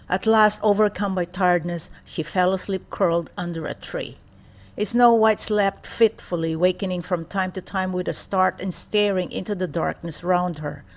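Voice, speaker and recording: A woman storyteller narrating a children's fairy tale in a measured, expressive way. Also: lowish voice.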